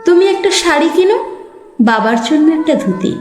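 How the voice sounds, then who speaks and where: High-pitched, plaintive village daughter, recited by a woman. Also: expressive, normal speed. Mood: resigned, tender. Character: sorrowful young village daughter, sold off, writing to mother. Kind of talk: dramatic Bengali poetry recitation as letter monologue. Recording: echoey voice over faint music. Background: soft background music.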